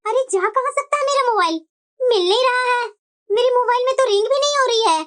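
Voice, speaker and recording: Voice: extremely high pitch, fast talker, over music. A performer voicing a doting, scheming middle-aged mother-in-law in a dramatic family cartoon, drawing out the coddling and complaints. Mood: fretting. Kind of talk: Hindi family moral-story cartoon voice acting.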